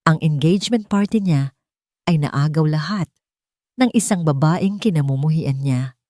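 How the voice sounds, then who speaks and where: A woman's voice: low voice, unhurried, warm tone, dry recording, studio quality. A woman narrating a scripted dramatic story in a solemn, sentimental manner.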